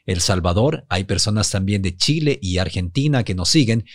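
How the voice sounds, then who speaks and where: A man with a fairly neutral Ecuadorian Spanish accent, co-hosting a podcast in a smooth, broadcaster-trained manner. His voice sits low, and the sound is dry and close, with no room echo. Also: animated, moderate pace. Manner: polished, broadcaster-like delivery. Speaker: podcast co-host. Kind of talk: business podcast conversation.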